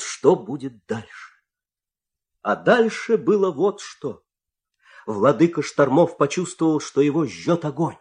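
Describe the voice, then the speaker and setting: Animated, theatrical male fairy-tale narrator. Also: on the high side, slight background noise. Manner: theatrical, suspenseful storytelling.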